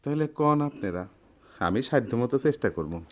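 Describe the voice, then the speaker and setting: An actor playing a humble, worried middle-aged goldsmith with a rural Bangladeshi accent, speaking hesitantly and deferentially in a radio play. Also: male voice, mid-range voice, lively intonation, brisk, lo-fi sound, close to an everyday voice. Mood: worried, pleading. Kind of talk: Bengali radio play acting.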